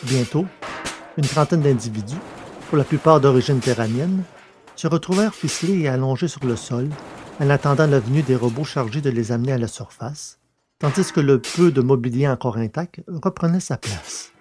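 A man's voice: mid-range voice, normal speed, a little mellow, faint music behind. A man reading a scripted science-fiction story as its narrator.

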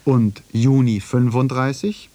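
A man reading scripted narration for a radio feature in a measured, factual, deliberate way. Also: medium pitch, fairly even intonation, warm tone, no echo.

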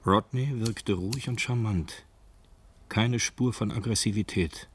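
An actor as the narrator of a radio crime drama, reading literary prose in a measured, matter-of-fact way. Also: male voice, fairly low pitch, a little hiss or hum, lightly acted. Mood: composed, matter-of-fact. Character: composed male narrator of a crime story.

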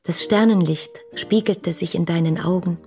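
A woman's voice: fairly low pitch, moderate pace, vocal fry, music in the background. An actress playing a passionate, dreamy young woman in a German radio play, reading her letters in a lyrical, intimate, fervent manner. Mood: dreamy, intense.

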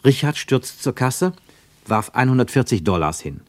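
A man narrating a scripted radio drama in a measured, literary and dramatic manner. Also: mid-range voice, very animated, no echo.

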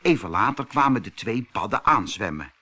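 A man reading a children's fairy tale as a storyteller, expressive and deliberate in his delivery. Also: lowish voice, husky. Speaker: storyteller, audiobook reader.

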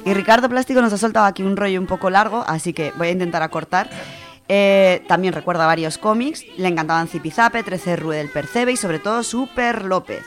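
A woman's voice: mid-range voice, brisk, faint music behind. A woman with an accent from Spain presenting a radio show, chatting informally as she reads out listener contributions. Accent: Spanish from Spain. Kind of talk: casual radio chat, reading out listener contributions.

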